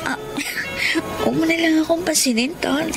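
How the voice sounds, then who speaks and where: A woman's voice: high voice, normal speed, vocal fry. A woman playing a plaintive, heartbroken young woman in a radio drama, with a Filipino accent. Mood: hurt. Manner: plaintive, sorrowful. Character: heartbroken young single woman, devoted and stubborn in love. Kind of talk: Filipino radio drama acting and first-person narration. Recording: loud music under the voice.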